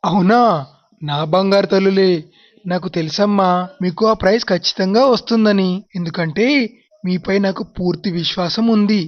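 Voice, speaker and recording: A woman voicing a gentle, encouraging father in an animated moral story, reassuring and affectionate, in a slightly muffled recording. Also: medium pitch, moderate pace, close to an everyday voice. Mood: proud, affectionate. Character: gentle, encouraging father figure full of faith.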